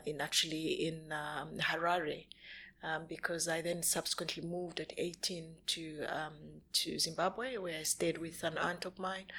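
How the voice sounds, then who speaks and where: A woman's voice: lowish voice, fairly bright tone. A woman in her forties from Zambia and the DR Congo, a native speaker of Swahili, Kinyarwanda and French, telling her life story conversationally as an interview guest. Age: 40s. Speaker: podcast guest.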